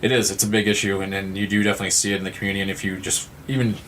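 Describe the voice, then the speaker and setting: A man with a fairly low voice gives conversational interview answers. He starts, stops and repeats words as he works toward his point. Faint noise and room ambience sit behind him. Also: little pitch movement, fairly bright tone, rough recording. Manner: halting, repeats words and restarts. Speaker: guest from a suicide-loss nonprofit.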